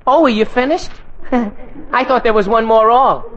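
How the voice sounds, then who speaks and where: A man playing an excitable, boastful teenage boy in a 1940s radio sitcom, earnest, whiny and easily flustered. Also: very high voice, a little slow, some chatter behind. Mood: flustered, defensive. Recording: dull, noisy 1940s radio recording.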